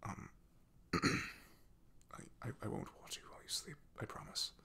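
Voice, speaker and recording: A man playing an awkward, loyal knight in a soft ASMR fantasy roleplay, half-whispering in a breathy, gravelly voice with a hesitant, apologetic, deferential manner. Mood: nervous, eager-to-please. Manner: hesitant, apologetic, halting with throat clearing.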